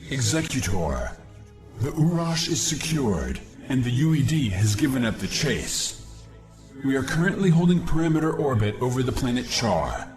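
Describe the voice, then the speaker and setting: A man's voice: mid-range voice, sing-song, normal speed, lightly acted. A man playing a proud, eager young alien warrior in a video game, speaking in formal, earnest declarations. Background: music.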